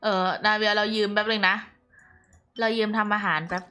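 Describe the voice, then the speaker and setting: A woman's voice: medium pitch, little pitch movement, rapid-fire, sharp tone, clean tone, faint music behind. A woman from Thailand chatting casually as she streams a game, reacting in a playful, exclamatory way. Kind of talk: casual live-stream reaction chat in Thai.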